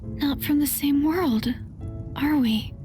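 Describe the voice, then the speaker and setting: An actress playing an earnest, hopeful young woman in a video game, speaking gently and deliberately. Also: high voice, over music. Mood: wistful. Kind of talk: video game character acting.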